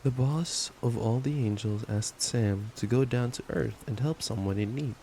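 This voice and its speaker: Young guy doing cuddly boyfriend asmr, soft dark tone, casual and playful, bit of rain in the background. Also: mid-range voice, moderate pace. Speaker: ASMR roleplayer playing a boyfriend. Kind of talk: intimate ASMR boyfriend roleplay with bedtime story reading. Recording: steady rain noise. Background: rain sounds.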